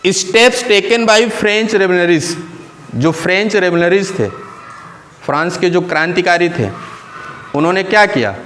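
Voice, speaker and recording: A male teacher lectures a classroom in a somewhat high voice. He poses questions and answers them himself, repeating key terms in English and Hindi. A faint hum sits under the recording. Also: measured pace, fairly bright tone.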